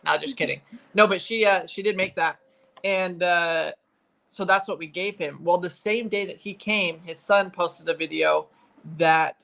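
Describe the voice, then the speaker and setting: A man's voice: high-pitched, measured pace, vocal fry, sharp tone. A man in his twenties chatting casually and informally on a family livestream, rambling a little as host. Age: 20s.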